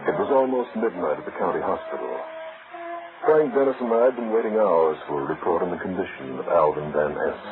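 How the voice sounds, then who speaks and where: An actor playing an authoritative detective who questions and narrates with formal drama in an old radio crime show. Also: male voice, deep voice, lively intonation, fairly quick, over music. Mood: grave narration. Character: hard-boiled male detective, probing, authoritative narrator of cases. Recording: tinny, noisy old radio recording.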